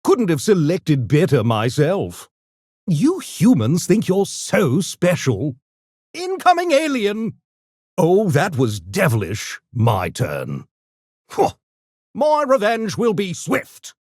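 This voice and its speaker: An actor voicing a smug, erudite genius gremlin for a video game, with pompous, mock-genteel, theatrical diction that tips into gleeful mania. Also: male voice, high voice, huge pitch swings, slow, a little mellow, no room sound, studio quality, heavily acted. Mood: smug, taunting. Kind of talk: video game character voice lines.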